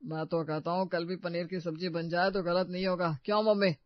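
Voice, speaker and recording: A woman's voice: on the low side, flat delivery, fairly quick, dry recording, muffled recording, lightly acted. A woman voicing an approving, food-loving father-in-law in a dramatized moral story. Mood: delighted praise. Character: food-loving, approving male head of the household.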